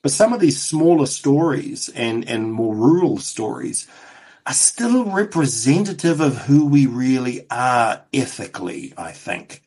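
A man with a New Zealand accent speaking as an interview guest, talking in halting bursts with frequent restarts. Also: medium pitch, sing-song, darkish tone, breathy edge. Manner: halting, frequent restarts and "you know" fillers. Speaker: television producer, guest. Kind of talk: casual interview conversation.

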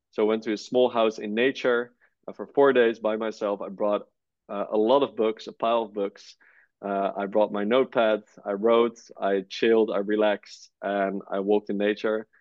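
A man's voice: lowish voice, darkish tone, dry recording. Easygoing young man, dull audio. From Netherlands. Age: 20s. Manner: easygoing, many "uh" fillers. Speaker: guest, startup co-founder. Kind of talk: relaxed conversational interview.

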